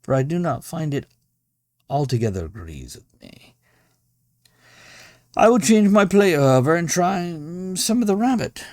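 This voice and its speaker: Wary, courteous gentleman guest, acted by a male reader; swooping hesitations, raised pitch, storybook style. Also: big pitch swings, a little slow, long pauses, no room sound, studio quality. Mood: polite unease. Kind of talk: storybook character voice.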